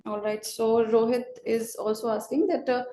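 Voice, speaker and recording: Mellow woman moderating, roomy echo. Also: on the high side, fairly even intonation, moderate pace, a little hiss or hum. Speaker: webinar moderator. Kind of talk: reading out audience questions. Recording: roomy, echoey webinar audio.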